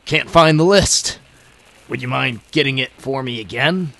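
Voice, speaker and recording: An actor putting on the gravelly voice of a police detective on a telephone call in an old time radio drama, official but halting. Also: male voice, medium pitch, sharp tone, no echo, voice pushed up. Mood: flustered. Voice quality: gravelly, forced.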